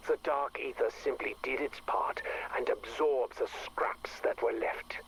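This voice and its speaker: An actor playing a bitter, scornful scientist with a temper in a video game audio log, sardonic and deliberate. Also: male voice, mid-range voice, fairly even intonation, vocal fry, a bit bright, a little hiss or hum, poor audio. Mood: cold, mocking.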